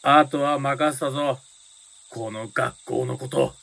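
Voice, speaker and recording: A man's voice: medium pitch, steady tone, slow pace, vocal fry, a bit bright, no echo, exaggerated voice. A man playing a hot-blooded, big-brotherly teenage delinquent leader in a radio drama. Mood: solemn farewell.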